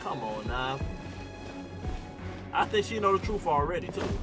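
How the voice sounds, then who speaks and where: A male streamer reacts casually to a game in slangy, repetitive bursts. His fairly high voice swings widely in pitch, over loud game music. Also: unhurried. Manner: slangy, excitable, repeats phrases. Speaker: gaming streamer. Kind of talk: casual gameplay reaction commentary. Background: video game audio and music.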